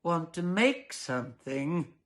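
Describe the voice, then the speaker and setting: A man's voice: on the high side, sing-song, takes it slowly, a bit bright, full character voice. A man voicing a dull, timid, cautious storybook father with a hesitant, bland delivery.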